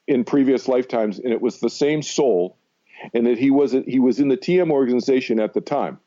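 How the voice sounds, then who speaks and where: An American man in his sixties with a mellow, dark voice, talking as an interview guest. He tells stories emphatically and loops back over his points. The sound is slightly dull and lo-fi. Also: mid-range voice. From Massachusetts, United States. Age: 60s. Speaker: interview guest, meditation teacher. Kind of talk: conversational radio interview, personal storytelling.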